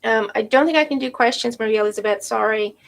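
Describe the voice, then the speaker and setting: Woman doing a live tarot reading, gravelly and a bit high, voice going from a cold, keeps asking right?. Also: quick pace. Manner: thinks aloud, checks in with "right?" and "okay?". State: losing her voice with a cold. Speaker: tarot reader.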